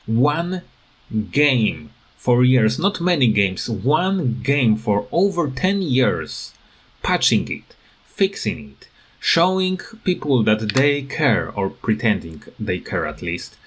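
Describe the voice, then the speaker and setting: Man, non-native English, slow pace, tired, halting and rambling, casual gaming rant, mid-range pitch. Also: very animated, a bit bright, husky. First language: not English. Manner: halting, rambling, repeats words. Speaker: gaming video creator.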